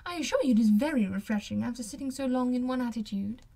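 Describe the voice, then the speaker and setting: A woman voicing a haughty, coquettish young society lady in an audiobook, with affected, exclamatory, drawn-out delivery. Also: on the high side, animated, gravelly, dark tone. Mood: coaxing. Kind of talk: audiobook character voice.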